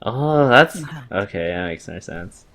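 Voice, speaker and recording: Man with lively, swinging pitch. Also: measured pace. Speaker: co-commentator. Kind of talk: casual reactive chat.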